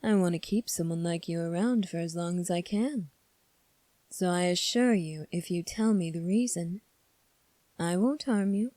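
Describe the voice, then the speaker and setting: A sly young prince played by a woman, with a soft mellow tone in a very dry, close recording. He speaks formally and calmly, probing and teasing with a faintly sadistic edge, in the manner of an ASMR audio drama. The pitch sits on the low side for a woman. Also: no echo, close to an everyday voice. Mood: smooth, teasing. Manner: measured, formal, coolly probing.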